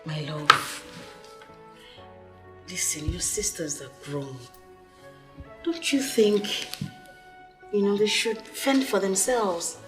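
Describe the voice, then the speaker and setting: A woman's voice: medium pitch, slow, long pauses, music in the background, lightly acted. A woman playing a frustrated, pleading wife in a screen drama. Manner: pleading, complaining. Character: frustrated wife fed up with live-in sisters-in-law. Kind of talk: naturalistic screen drama acting.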